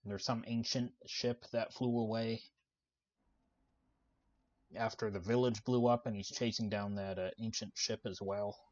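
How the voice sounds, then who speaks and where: Male gaming host, low-pitched and soft-spoken, laid-back commentary, lo-fi mic sound. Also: a little slow, pauses often. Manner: relaxed, many "uh" fillers, explains the game while playing. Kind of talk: casual gameplay commentary. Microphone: desk microphone.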